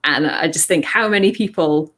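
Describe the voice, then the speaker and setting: A woman video creator talking casually on a panel, with a relatively deep voice, laughing often and restarting her sentences. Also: normal speed, a bit bright. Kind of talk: casual online panel chat.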